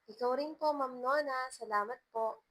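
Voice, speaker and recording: A courteous young woman teacher from a romance story, acted by the female narrator. She speaks higher and with more push than plain narration, always deferential and grateful in her wording. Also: moderate pace, fairly bright tone, a little hiss or hum. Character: polite, worried young woman teacher studying for a masters.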